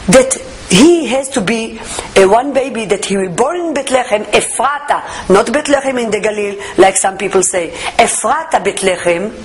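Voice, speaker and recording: A woman's voice: on the high side, low-quality recording. Earnest woman with an Israeli accent. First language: Hebrew. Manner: earnest, searching for words in English, emphatic. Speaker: testimony speaker. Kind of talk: personal faith testimony.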